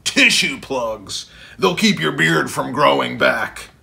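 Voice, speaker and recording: A man voicing a giant pink imaginary monster friend from a picture book, bellowing, playful and drawn-out with childish enthusiasm. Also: lively intonation, gravelly, bright, forceful tone, exaggerated voice. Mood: confident. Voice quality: bellowing, booming.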